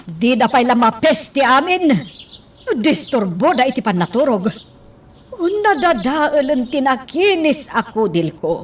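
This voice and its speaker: A middle-aged mother who whines and frets in a radio drama. Her voice is fairly high and she drags her words slowly. She sounds some way from the microphone, with a faint noise behind her. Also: very animated, distant mic. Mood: irritated. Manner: whiny, exasperated. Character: fretful, complaining mother in hiding, used to comfort. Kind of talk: Ilocano radio drama acting.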